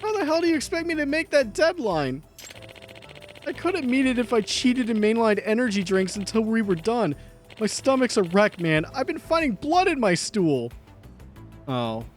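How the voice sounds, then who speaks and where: A man's voice: very high-pitched, sing-song, normal speed, soft background music, pitched above the performer's own voice. A man voicing a panicky, frazzled young male game developer who talks in a whiny, nervous way.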